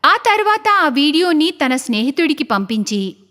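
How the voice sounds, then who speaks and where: A woman's voice: high-pitched, very animated, normal speed, sharp tone. A woman narrating a scripted story in a dramatic storytelling style.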